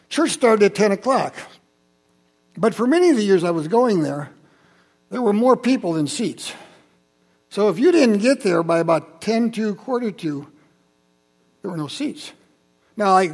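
A man's voice: high voice, a little mellow, slightly rough. A man in his seventies, from Holland, Michigan, telling Bible and childhood stories to a congregation in a chatty, conversational way with asides to the listeners. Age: 70s. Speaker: church speaker. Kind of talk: personal sermon storytelling.

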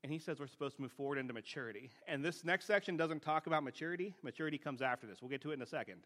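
A man's voice: on the high side, very fast, fairly bright tone. Conversational male preacher. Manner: plain-spoken, often checks in with rhetorical questions. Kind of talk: conversational teaching sermon.

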